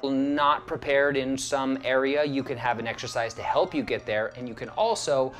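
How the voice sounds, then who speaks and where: A man's voice: medium pitch, moderate pace, a bit bright. A man in his thirties, an acting professor, giving an upbeat, encouraging lecture to camera in a studio. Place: studio stage set.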